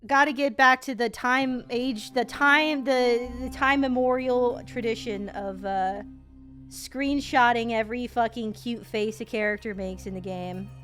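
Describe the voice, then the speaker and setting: A woman streamer reacting to a game in rambling, animated, sweary casual chat. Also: a little high, measured pace, soft background music.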